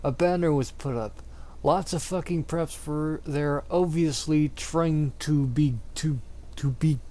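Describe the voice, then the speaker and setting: Slow, higher-voiced man reading theatrically. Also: dark tone. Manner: theatrical, exaggerated delivery, often breaking into giggles. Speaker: amateur reader of fan fiction. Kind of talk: mock-dramatic reading of badly written fiction.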